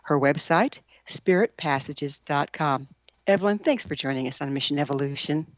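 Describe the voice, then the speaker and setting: A woman's voice: deep voice, normal speed, dry recording, lo-fi sound. A woman hosting a radio interview show, moving between scripted introductions and questions to a guest.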